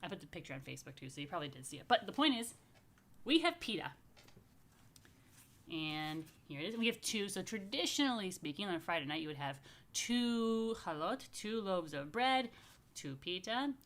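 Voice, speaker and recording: A woman's voice: medium pitch, very animated, normal speed, fairly bright tone. Casual, playful woman rabbi chatting to families. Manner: playful, informal asides; addresses viewers directly with questions and invitations. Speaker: rabbi hosting family story time.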